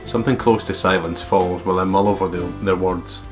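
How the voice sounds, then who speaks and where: Male let's play host, deep voice, reading story narration over guitar game music. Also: fairly even intonation, a little fast. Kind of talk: reading visual novel narration aloud.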